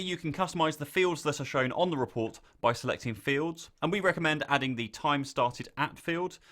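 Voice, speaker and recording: A man reading a scripted software tutorial, giving clear step-by-step instructions as the narrator. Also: mid-range voice.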